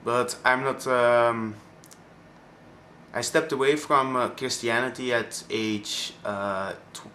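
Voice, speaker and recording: A man of twenty-one from Belgium with a Flemish accent, his first language Dutch, speaking haltingly with many pauses in a personal vlog. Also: mid-range voice, fairly even intonation, pushed and bright, a little hiss or hum. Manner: hesitant, many ums and pauses. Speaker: vlogger.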